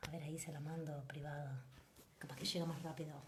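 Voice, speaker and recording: A woman with an Argentine accent hosts a casual live stream, greeting viewers one by one in a lively way. Her voice sits at a middle pitch, and the room around her gives a noticeable echo. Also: fast, slight background noise. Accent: Argentine Spanish. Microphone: video call. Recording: roomy echo.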